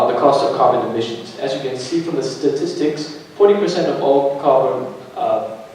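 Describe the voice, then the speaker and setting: A man's voice: medium pitch, a little mellow, a little hiss or hum. A young man from Myanmar giving a rehearsed formal presentation as part of a team. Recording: very echoey room, distant mic.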